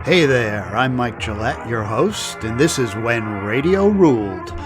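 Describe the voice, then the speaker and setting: A man hosting a radio-history program in scripted documentary narration with measured, dramatic pacing. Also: medium pitch, lively intonation. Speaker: podcast host. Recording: narration over music.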